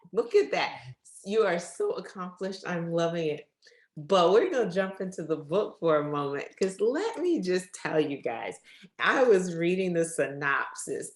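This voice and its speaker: A woman hosting an upbeat faith talk show, speaking effusively and addressing her audience directly. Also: on the low side. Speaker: interview show host. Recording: roomy, echoey sound.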